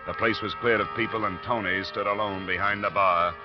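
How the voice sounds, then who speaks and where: An American actor playing a tough, shrewd insurance investigator in a 1950s radio drama, with clipped, hard-boiled delivery. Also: male voice, fairly low pitch, fairly even intonation, a little fast, natural voice. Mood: wry narrating. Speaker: lead actor and narrator. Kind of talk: 1950s radio detective acting. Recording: muffled old radio recording, music behind.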